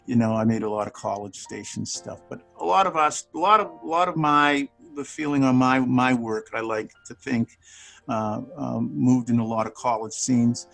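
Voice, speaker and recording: A gravelly-voiced man in his sixties talks loosely over a video call, circling back on his words and chuckling. His pitch sits in an ordinary middle range, and faint music plays behind him. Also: moderate pace, fairly bright tone, rough recording. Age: 60s. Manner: rambling, many restarts, chuckles often. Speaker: studio manager and bass player. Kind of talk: casual conversation on a video call.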